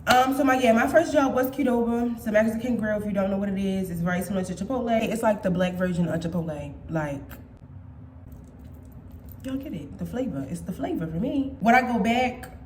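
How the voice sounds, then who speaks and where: A woman's voice: medium pitch, fairly quick, a little mellow, roomy sound, a little hiss or hum. A woman in her twenties chatting casually and playfully to her viewers as a vlogger, swearing freely. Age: 20s. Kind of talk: casual chat.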